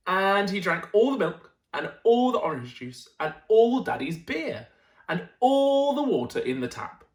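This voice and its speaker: Animated man reading a bedtime story. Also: high voice, unhurried, reverberant. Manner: animated, dramatic emphasis with friendly asides to listeners. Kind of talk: expressive children's picture-book reading.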